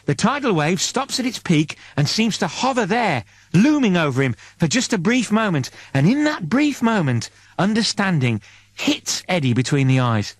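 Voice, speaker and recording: Guy narrating a tense audiobook, lively swings in a slightly high voice, super dry studio sound. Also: no echo. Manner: suspenseful, deliberate short phrasing. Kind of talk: dramatic scripted narration.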